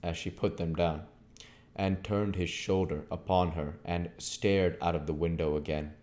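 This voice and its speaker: A man's voice: sits low, steady tone, measured pace, a little mellow. A man narrating a classic novel aloud as an audiobook reader. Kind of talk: storybook narration, read aloud.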